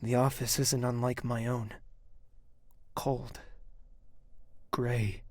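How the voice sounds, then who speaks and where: An actor playing a weary, grieving young king in an audio drama, speaking in a measured, introspective, hushed way. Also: mid-range voice, dry recording. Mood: somber, brooding. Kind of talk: dramatic first-person narration and dialogue in audio drama.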